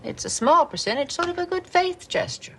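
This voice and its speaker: Coolly firm finance official, gravelly fry, formal and measured, TV drama, faint background noise. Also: female voice, very high-pitched, lively intonation, sharp tone, exaggerated voice. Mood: cool, businesslike. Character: poised, businesslike credit card company official, coolly firm. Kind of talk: naturalistic television drama acting.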